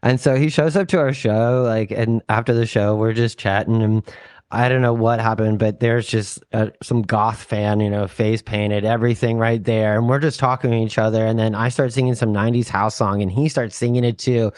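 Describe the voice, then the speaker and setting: A man's voice: fairly low pitch, steady tone, normal speed, darkish tone, no room sound, clean studio sound. A young adult man, a touring musician, telling road stories in a loose, rambling, profane way, full of "like" and "you know". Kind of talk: casual storytelling chat.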